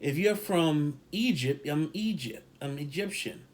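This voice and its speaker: A man's voice: on the high side, lively intonation, measured pace. A man talking casually as a podcast co-host, emphatic and rambling, repeating points for stress. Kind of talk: casual opinion talk.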